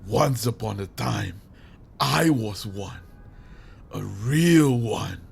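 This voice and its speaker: A man playing a grieving, vengeful villain in an audio drama, with menacing, deliberate delivery and theatrical pauses. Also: lowish voice, animated, creaky, a little hiss or hum, full character voice. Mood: bitter. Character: grieving, vengeful male villain hijacking a radio broadcast. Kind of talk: dramatic audio roleplay monologue.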